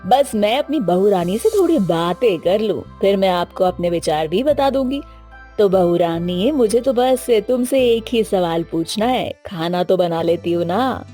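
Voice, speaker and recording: A woman's voice: medium pitch, lively intonation, measured pace, warm tone, faint music behind, natural voice. A woman playing a polite, approving middle-aged prospective mother-in-law in a story cartoon, courteous and matter-of-fact. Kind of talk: Hindi moral story cartoon dialogue.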